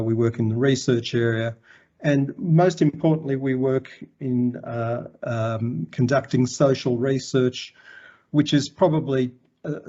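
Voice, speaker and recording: An Australian man giving a formal conference presentation in a measured, halting way. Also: mid-range voice, warm tone, muffled recording. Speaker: conference presenter, health organisation chief executive.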